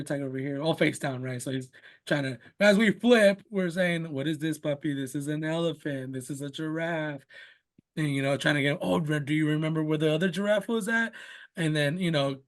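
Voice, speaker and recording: A young father, a native Spanish speaker, rambles easily through stories about his son. His voice sits a touch higher than most men's, and he keeps reaching for "you know" and "like" in a relaxed, unscripted way. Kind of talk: casual conversational interview.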